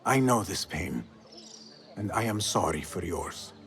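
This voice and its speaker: An actor playing an earnest, protective young agent in a video game, with formal phrasing. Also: male voice, sits low, lively intonation, unhurried, creaky, fairly bright tone, heavily acted. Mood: earnest, calm. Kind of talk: video game cutscene dialogue.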